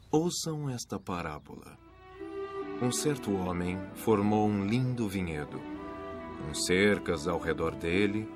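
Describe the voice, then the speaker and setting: Calm, authoritative messiah, very low voice, measured solemn storytelling over prominent music, animated Bible film. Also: male voice. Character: calm, authoritative male messiah telling parables.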